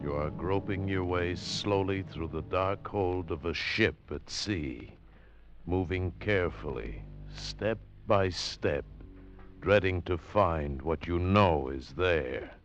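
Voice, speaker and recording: A man giving dramatic 1940s radio narration, with a measured, ominous and intense delivery. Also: deep voice, highs cut off.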